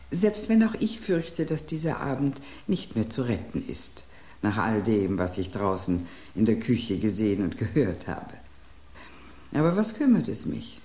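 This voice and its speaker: An actor playing a theatrical wine cream soup that bids a grand, resigned farewell before it is eaten, in a radio play. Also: male voice, on the low side, dark tone, reverberant. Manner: grand, melancholy farewell with French phrases.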